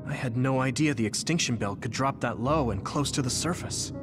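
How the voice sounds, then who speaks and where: A man voicing a cautious, focused young soldier in an anime game, serious and measured. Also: medium pitch, close to an everyday voice. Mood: firm. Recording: music and rain behind the voice.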